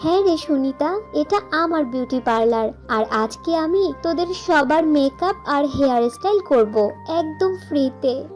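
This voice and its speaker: A woman's voice: high voice, expressive, a little slow, darkish tone, soft background music. A woman voicing a kind, earnest poor village girl in a fairy-tale cartoon, sweet in manner. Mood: eager, kind.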